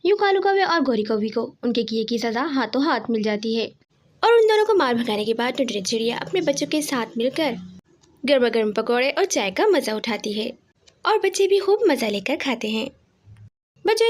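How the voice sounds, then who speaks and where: A woman storyteller with a very high voice. She reads scripted narration for a children's animal tale.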